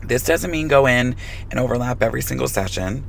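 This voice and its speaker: Hoarse man with a gravelly voice. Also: mid-range voice, animated, a little fast, a little hiss or hum. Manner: frank, direct advice to fellow stylists. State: hoarse, losing his voice. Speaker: hair colorist. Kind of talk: hair tutorial vlog.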